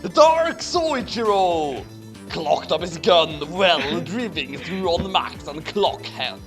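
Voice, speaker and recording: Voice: mid-range voice, lively intonation, takes it slowly, music in the background. A person reading a fanfic aloud with comedic drama and casual asides, stumbling over misspelled text. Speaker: fanfic reader.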